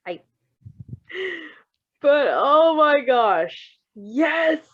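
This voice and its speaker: A woman reading fan fiction aloud with casual asides, expressive and emotionally invested in the story. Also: high voice, drawn-out words, no echo, dull audio.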